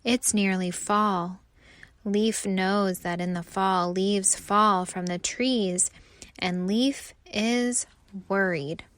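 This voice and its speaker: A woman narrating a children's storybook aloud with expressive, deliberate phrasing. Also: medium pitch.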